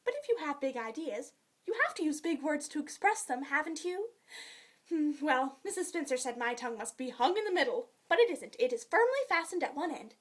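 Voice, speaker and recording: A woman's voice: high voice, animated, a little fast. A woman performing a dramatic monologue as a talkative, starry-eyed young orphan girl, effusive and dreamy in a theatrical way. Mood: eager, chatty. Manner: effusive, dreamy, theatrical, swinging between gushing and wistful.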